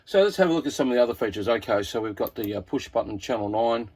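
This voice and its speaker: A man's voice: medium pitch, expressive, fast talker, a little mellow, husky. An Australian man presenting a casual hobbyist product walkthrough in a laid-back, informal, slightly hesitant way.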